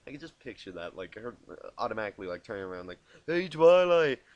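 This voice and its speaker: A man narrating a storybook tale as an amateur reader, halting and often repeating words he stumbles on. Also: low-pitched, a bit bright, dry recording. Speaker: amateur fan fiction reader. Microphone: inexpensive home microphone.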